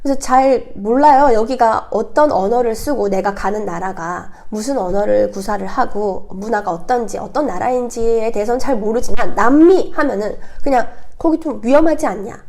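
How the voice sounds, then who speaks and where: A woman from South Korea chatting casually to viewers as a vlogger, her voice hoarse and congested from a cold, explaining things in a talkative way. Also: fairly high pitch, normal speed, few pauses, a little mellow. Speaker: vlogger hosting own channel.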